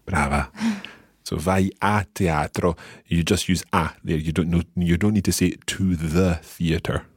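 A man's voice: deep voice, expressive, measured pace, slightly rough, no room sound, studio quality. A man hosting a language-lesson podcast, guiding a learner with patient, encouraging explanations. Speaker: podcast host and teacher.

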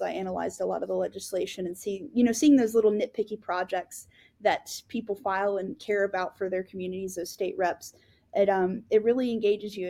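A woman co-hosting a podcast, telling her own story in an earnest, casual way, often pausing with ums and restarting her sentences. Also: medium pitch, warm tone. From Florida.

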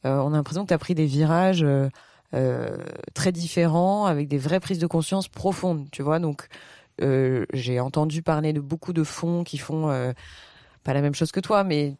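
A woman's voice: low voice, moderate pace, dark tone, no room sound, studio quality. A woman around forty hosting a podcast, reading a scripted intro and then interviewing in a hesitant, filler-heavy way. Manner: hesitant, many fillers in conversation. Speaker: podcast host.

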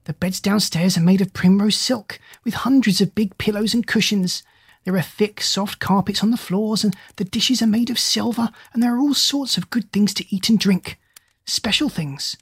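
A woman voicing an excited, chattering female duck in a children's storybook, with breathless, gushing enthusiasm. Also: mid-range voice, slightly rough, dry recording, studio quality, pitched above the performer's own voice.

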